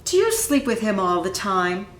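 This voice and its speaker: Eager, persistent young boy for a picture book, played by a woman, questioning, expressive pitch, roomy acoustics. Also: normal speed. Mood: curious, insistent. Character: young boy Ira, curious, persistent storybook narrator. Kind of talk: storybook character voice. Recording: echoey room.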